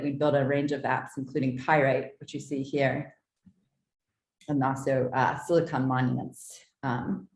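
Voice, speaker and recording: A woman with a strikingly deep voice delivers a prepared artist talk. She is formal and articulate, reading in measured phrases. The sound is roomy, with clear echo around her words. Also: darkish tone. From southeastern United States. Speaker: artist presenting own work.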